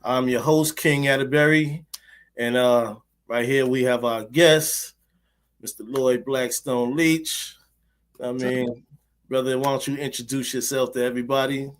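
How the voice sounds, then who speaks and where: A man's voice: mid-range voice, steady tone, unhurried. A man hosting a poetry interview show, casual and slangy, with plenty of fillers. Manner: laid-back, slangy, with fillers. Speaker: host.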